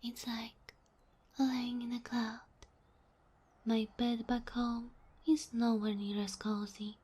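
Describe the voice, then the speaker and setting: A sweet, bashful kitsune girl, played by a woman for an ASMR roleplay. Her voice is high and very breathy, often sinking into a whisper. She speaks slowly and gently, with shy, hesitant, submissive warmth. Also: little pitch movement. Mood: dreamy, content. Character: sweet, shy, submissive young female kitsune fox spirit familiar.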